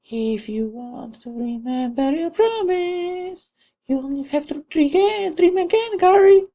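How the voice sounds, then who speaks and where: A man voicing a gentle, wistful young woman from a dream in a visual novel, speaking softly and kindly. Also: very high-pitched, expressive, unhurried, voice pushed up, heavily acted. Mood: wistful calling.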